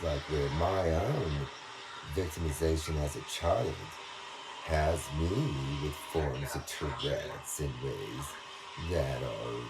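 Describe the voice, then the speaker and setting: A man talking through a rambling monologue, halting, restarting and trailing off as he goes. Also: very deep voice, background noise. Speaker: video monologue speaker.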